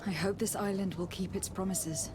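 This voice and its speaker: A woman's voice: mid-range voice, steady tone, moderate pace, background noise, natural voice. An actress playing a poised young noblewoman diplomat in a fantasy video game, speaking formally, earnestly and politely.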